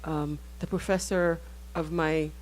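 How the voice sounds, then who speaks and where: A woman author whose first language is Haitian Creole, speaking thoughtfully in a panel discussion, pausing and restarting often. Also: low-pitched, fairly even intonation. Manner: thoughtful, halting, many restarts.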